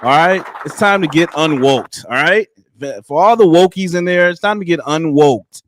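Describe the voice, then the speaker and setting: A man's voice: on the high side, moderate pace, fairly bright tone, slight background noise. A man in his thirties hosting a casual live-stream interview, chatty and loose, laughing easily. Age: 30s. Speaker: livestream host.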